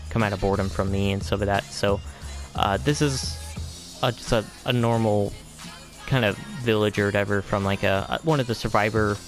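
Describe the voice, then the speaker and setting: A man's voice: fairly low pitch, fairly even intonation, normal speed, over music. A man giving a casual, rambling game tour as video host, hesitant and often trailing off into vague asides.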